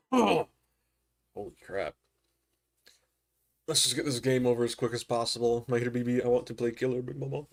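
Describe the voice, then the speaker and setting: A man with a raspy, hoarse voice from a recent cold, chatting casually to his viewers while playing a game on a live stream. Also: medium pitch, moderate pace, long pauses. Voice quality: raspy throat. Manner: laid-back, chatty, slangy asides to chat. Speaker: gaming streamer. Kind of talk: casual live gameplay commentary.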